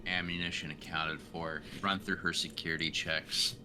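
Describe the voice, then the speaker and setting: A man's voice: sits low, steady tone, moderate pace, over music. A man playing a tabletop roleplaying game, casually describing what his character is like and does.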